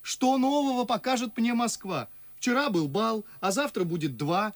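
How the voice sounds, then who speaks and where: A man's voice: very high-pitched, lively intonation, normal speed, no echo. An actor playing an ardent, witty, mocking young man in love in a verse radio play, with theatrical delivery. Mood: teasing. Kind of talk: classic verse stage acting for radio. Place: radio studio.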